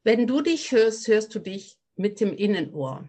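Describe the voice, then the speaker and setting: A middle-aged woman with a slight Rhineland accent talks as a guest on a podcast. She is a voice coach, and she explains her craft in a reflective, earnest way. Her voice sits at an ordinary middle pitch. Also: sing-song, unhurried, mellow. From near Cologne, Germany. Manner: reflective, earnest, speaks of voice work with conviction. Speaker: voice coach and opera singer, guest. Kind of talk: podcast interview.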